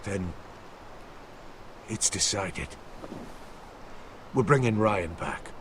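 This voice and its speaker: An actor playing an earnest, principled counsellor to a lord in a video game drama, speaking formally. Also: male voice, on the low side, animated, drawn-out words. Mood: grave, concerned. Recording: faint background noise.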